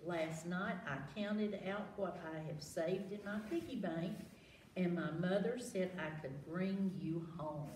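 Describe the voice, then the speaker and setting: A woman voicing a sweet, affectionate little girl in a children's storybook, earnest and gentle. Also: lowish voice, a little slow, lightly acted. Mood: eager. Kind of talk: children's picture-book storytelling. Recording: roomy, distant mic, faint noise.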